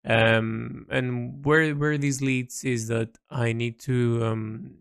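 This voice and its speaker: Halting man with a creaky voice. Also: mid-range voice, steady tone, no echo, clean studio sound. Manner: halting, hesitant, thinking aloud with repeats. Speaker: painter recording an audio log. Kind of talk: reflective spoken audio diary, unscripted.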